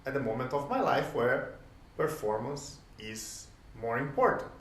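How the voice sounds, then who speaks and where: A man whose first language is Portuguese, musing aloud as host in a reflective solo talk, pausing often and posing questions to the listener. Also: medium pitch, sing-song. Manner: thoughtful, pauses often, rhetorical questions. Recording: roomy, distant from the mic, faint ambience.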